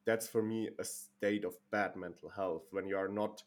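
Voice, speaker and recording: A man's voice: deep voice, fairly even intonation, moderate pace. A young man in his twenties from Germany, a podcast guest who speaks thoughtfully with frequent halts and repeated words. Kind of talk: casual podcast chat.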